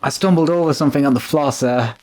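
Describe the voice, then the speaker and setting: A man's voice: medium pitch, quick pace, darkish tone, lightly acted. A man playing a nervous, deferential manservant in a radio drama, with a fretful, complaining way of talking. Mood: frightened. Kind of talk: classic radio drama acting.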